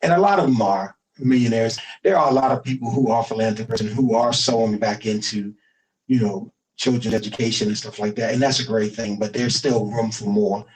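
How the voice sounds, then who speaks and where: A middle-aged man, a guest musician in a remote interview, talking in a relaxed way and often repeating himself with "you know". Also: fairly low pitch, fairly quick, poor audio. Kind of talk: casual interview chat.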